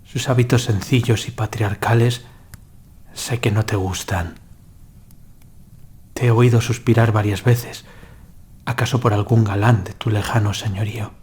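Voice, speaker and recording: Husky low-voiced lovesick young nobleman, played by a man. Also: darkish tone. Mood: wistful, solemn. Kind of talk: dramatised gothic tale acting.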